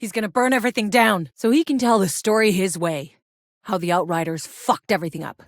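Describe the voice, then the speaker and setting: A woman's voice: mid-range voice, brisk, no room sound, natural voice. A woman voicing a tough, sardonic, foul-mouthed female soldier in a video game, with a dry, blunt delivery. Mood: angry, defiant. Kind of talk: video game character voice lines.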